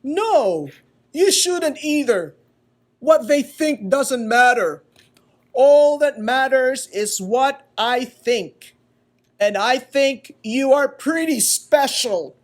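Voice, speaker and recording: A woman voicing a kindly, loving male wood carver in a children's storybook, speaking slowly, strongly and with emphasis. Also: high-pitched, animated, pitched above the performer's own voice, exaggerated voice. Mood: firm, encouraging. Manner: slow, deliberate, tender.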